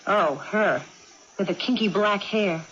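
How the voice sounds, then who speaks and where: An actress playing a prim, dutiful young daughter in a radio drama, with a curt, contradicting, matter-of-fact manner. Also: female voice, mid-range voice, a little slow, a bit bright, close to an everyday voice. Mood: sullen. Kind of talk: 1980s radio drama acting. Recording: dull, noisy vintage radio recording.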